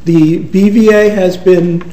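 A man in his fifties giving a courtroom argument as an attorney, halting with pauses and fillers. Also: sits high, fairly even intonation, dark tone. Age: 50s. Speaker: attorney arguing rebuttal. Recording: echoey courtroom with faint hiss.

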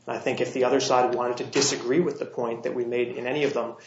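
A man arguing an appeal before a court, with a slightly scratchy voice and a measured delivery full of "uh" fillers and restarts. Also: mid-range voice, level tone. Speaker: appellate attorney. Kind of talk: courtroom oral argument. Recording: dull, roomy courtroom audio with faint hiss.

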